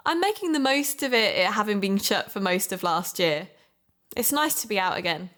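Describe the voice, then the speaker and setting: A woman playing a keen, sociable amateur investigator and podcaster in an audio drama, talking in a measured, conversational way. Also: mid-range voice, lively intonation, fairly bright tone, close to an everyday voice. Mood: chatty, keen.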